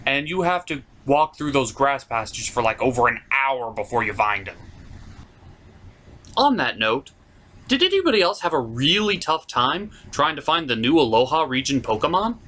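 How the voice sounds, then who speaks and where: A man's voice: mid-range voice, very animated, normal speed, bright, forceful tone. A man hosting a top 10 countdown, giving opinionated video game commentary in an informal, emphatic way. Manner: informal, emphatic, with mild swearing.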